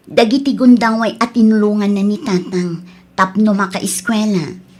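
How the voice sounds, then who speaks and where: A woman's voice: medium pitch, darkish tone, close to an everyday voice. A woman acting an earnest younger sister who coaxes and reasons, in an Ilocano radio drama.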